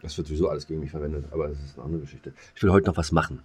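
A man's voice: rumbling low, steady tone, rapid-fire, darkish tone, breathy edge. A middle-aged man with a German accent chatting casually as a podcast co-host, blunt and slangy, teasing his partner. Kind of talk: casual, bantering chat.

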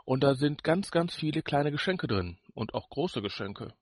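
A man's voice: medium pitch, animated, moderate pace, breathy edge, no room sound. Man bantering casually as podcast co-host, dry sound.

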